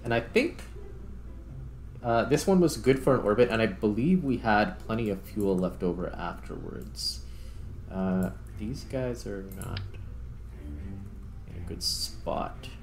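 A man giving casual gameplay commentary, thinking aloud in short, unhurried phrases. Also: on the low side, expressive, faint music behind. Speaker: gaming YouTuber, player commentating.

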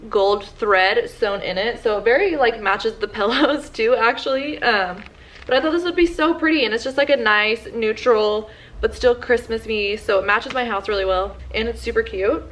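A woman's voice: fairly high pitch, moderate pace, a bit bright. Young woman vlogger, casual haul chatter, talks to a camera in her living room. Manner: chatty, lots of "like" fillers. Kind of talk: casual shopping haul chat.

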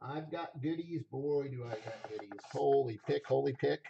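A man hosting a livestream auction with folksy, repetitive casual sales patter, his voice rough, raw and a little hoarse. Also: medium pitch, normal speed, lo-fi sound, background noise. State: slightly hoarse.

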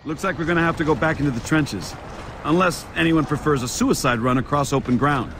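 An actor playing a gruff male soldier leading a squad in a game cutscene. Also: on the high side, fairly quick, background noise, lightly acted. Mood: grim. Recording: game audio over loud music.

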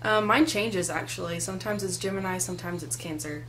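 A woman's voice: lowish voice, brisk, noisy background. A woman in her twenties chatting casually to a webcam in a small room, with lots of "like" and "um" fillers. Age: 20s. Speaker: co-host. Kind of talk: casual chat. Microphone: webcam at desk distance.

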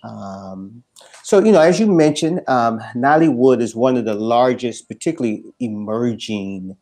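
A middle-aged man hosts an interview podcast. His voice sits at a middle pitch, and he speaks conversationally into a close mic on a video call. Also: animated, unhurried, a little mellow. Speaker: podcast host. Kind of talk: conversational hosting. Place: indoor room, on a video call.

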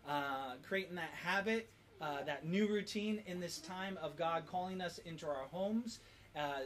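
A parent leading a family devotional and prayer, giving short instructions and prompts. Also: mid-range voice. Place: at home with family. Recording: noisy home video recording.

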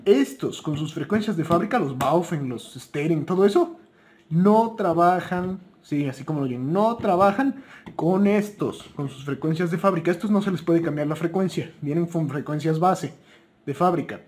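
A man's voice: a little high, moderate pace, a little mellow. A man with a Mexican Spanish accent giving buying tips in a chatty, informal, unscripted way, correcting himself as he goes. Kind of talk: casual unscripted product talk.

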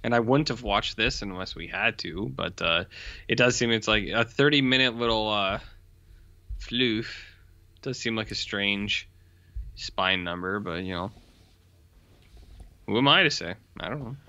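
A man's voice: lowish voice, unhurried, pauses often, bright, forceful tone, no echo, lo-fi sound. A man co-hosting a podcast, chatting casually and teasing playfully. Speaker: podcast co-host. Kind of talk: casual banter.

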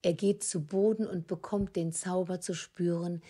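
A woman narrating an audio drama in a subdued, measured storytelling manner. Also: on the low side, fairly even intonation, dark tone.

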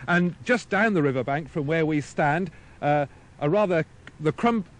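A man's voice: on the high side, moderate pace. A man delivering a reporter's rehearsed piece to microphone, stumbling and restarting. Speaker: outside broadcast reporter.

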